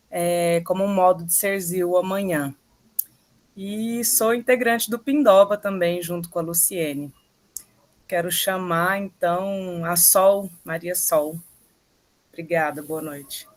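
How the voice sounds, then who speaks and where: A woman from Goiás with a Goiás accent, an anthropologist and teacher introducing herself on a video call in a slow, homey way. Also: fairly low pitch. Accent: Goiás Brazilian Portuguese. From Goiás, Brazil.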